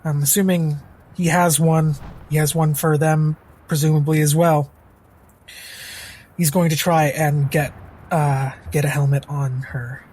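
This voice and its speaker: A man's voice: a little high, flat delivery, measured pace, a little mellow. A man playing a tabletop roleplaying game, casually narrating his character's actions as he thinks aloud.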